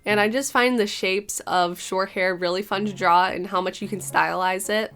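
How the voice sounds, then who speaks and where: A young woman narrating her drawing process in a chatty, rambling, apologetic way, her voice a little hoarse after being sick. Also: medium pitch, steady tone, moderate pace, fairly bright tone. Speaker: art channel host. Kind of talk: casual voice-over narration of a drawing.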